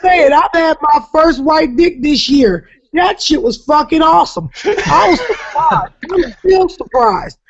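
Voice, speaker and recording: A woman from Jacksonville, Florida with a raspy voice, telling profane stories in casual chat as a comedian guest, often laughing loudly. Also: sits high, lively intonation, unhurried. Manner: brash, profane storytelling with loud laughter. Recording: dull lo-fi podcast audio.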